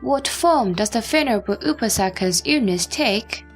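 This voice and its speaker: A woman playing an earnest bodhisattva who puts formal, measured questions to a sage in a scripture audio drama. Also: on the high side, lively intonation, faint music behind. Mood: earnest, inquiring.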